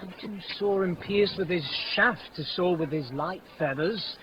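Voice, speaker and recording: An actor playing a lovestruck, melancholy young gentleman, speaking verse earnestly in a 1950s radio Shakespeare style. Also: high voice, measured pace. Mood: gloomy, restless. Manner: earnest, declamatory verse delivery. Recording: muffled, noisy old radio broadcast.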